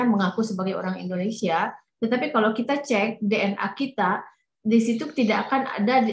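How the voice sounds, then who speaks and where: Woman interpreting a lecture in an echoey room. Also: medium pitch. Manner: hesitant, many fillers and restarts. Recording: roomy echo.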